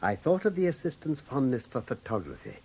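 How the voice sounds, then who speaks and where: An actor in a British radio drama playing a coolly analytical gentleman detective with an English accent and a precise, assured manner. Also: medium pitch, wildly swooping pitch, fairly quick, breathy edge, exaggerated voice. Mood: explanatory, assured.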